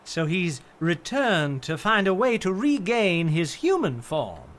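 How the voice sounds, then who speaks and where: An open-minded believer in the uncanny, played by an actor in a radio play. The voice is earnest and reasoning, with animated, lively pitch. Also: slow. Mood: earnest, intrigued.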